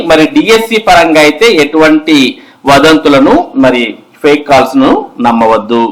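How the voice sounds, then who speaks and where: A man's voice: a little high, rough recording. A man explains news updates step by step in an instructional way, addressing viewers directly. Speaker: host of an education and job news channel.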